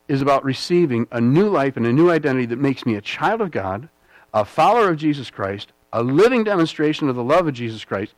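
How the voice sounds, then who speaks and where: A man's voice: on the high side, no echo, rough recording. American guy preaching, loose and chatty, keeps saying all right?, dry close sound. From United States. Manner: rambling, with frequent "all right?" check-ins; self-deprecating humor. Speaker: pastor. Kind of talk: conversational sermon.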